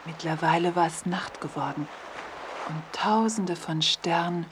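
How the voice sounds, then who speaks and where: A woman narrating a scripted children's story expressively, often letting her phrases fall to a hush. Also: on the low side, unhurried, noisy background. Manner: expressive storytelling, dropping to hushed phrase endings.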